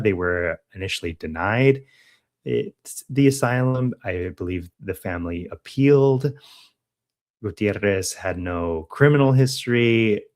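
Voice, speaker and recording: An American man co-hosting a podcast, casually talking through news in a halting way, correcting himself as he thinks aloud. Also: on the low side. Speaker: podcast co-host.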